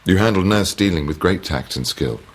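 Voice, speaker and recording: A man's voice: low voice, animated, a little fast. An actor playing a charming, troubled male psychiatrist with an English accent, educated and courteous, in a period radio drama. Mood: wry, engaged.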